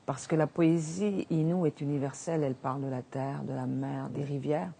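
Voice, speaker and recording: A woman poet whose first language is Innu, speaking slowly and gently about her poetry. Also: deep voice, fairly even intonation, vocal fry, slightly rough. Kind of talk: reading poetry and speaking in an interview.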